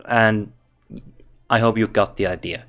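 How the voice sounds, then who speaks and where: A man with a Finnish accent speaking English as a second language, talking slowly and haltingly as he reviews a game. He often restarts his sentences and thinks aloud, with faint music playing behind him. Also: fairly low pitch, steady tone, a bit bright. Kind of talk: casual game commentary.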